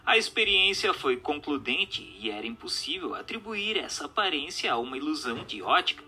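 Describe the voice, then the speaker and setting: Bright, forceful Brazilian male narrator. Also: fairly high pitch, very animated, fairly quick, breathy edge, soft background music. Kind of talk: scripted narration of a book.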